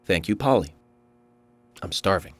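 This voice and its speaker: An actor with an American accent playing an eccentric, polite investigator in a video game, speaking in a measured, formal way. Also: male voice, low-pitched, animated, a bit bright, breathy edge, heavily acted. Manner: measured, formal, musing aloud. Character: eccentric polite male investigator, brooding and courteous. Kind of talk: scripted video game voice acting.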